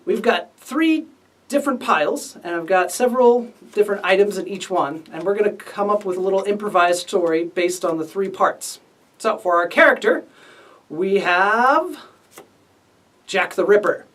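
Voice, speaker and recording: A man's voice: sits high, a bit bright, recorded from a distance. A man hosting a casual writing lesson as the instructor. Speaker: writing instructor and series host.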